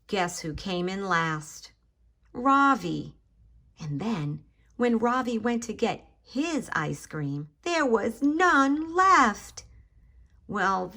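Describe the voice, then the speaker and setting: Slow, expressive woman reading to children. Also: fairly high pitch. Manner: addresses children directly, dramatic emphasis on key words. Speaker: children's story time host and reader. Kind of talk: expressive picture-book read-aloud for young children.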